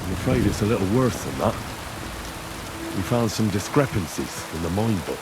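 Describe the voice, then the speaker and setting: An actor playing a young medieval man calmly and firmly questioning suspects in a video game. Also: sits low, normal speed, very noisy. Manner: calm, firm interrogating.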